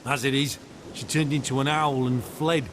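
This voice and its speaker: A man's voice: fairly even intonation, normal speed, fairly bright tone, lightly acted. An actor playing a surly, contemptuous military commander who hunts witches in a fantasy game, with a brusque, scornful delivery. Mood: bitter. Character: surly, foul-mouthed male witch-hunter commander, contemptuous and hard. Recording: game audio with rumbling ambient noise.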